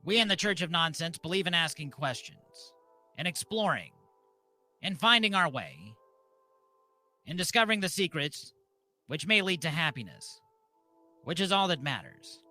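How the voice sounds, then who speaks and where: A man hosting a comedy ceremony like a mock-solemn preacher, deadpan, speaking in deliberate short phrases. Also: on the high side, faint music behind. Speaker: host and mock officiant of a comedy live show.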